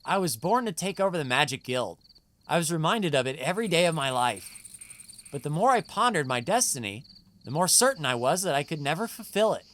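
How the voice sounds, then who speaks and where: An actress playing an earnest, gentle young female guild heir in a video game, speaking in a sincere, measured way. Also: low voice, very animated. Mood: earnest, resolute.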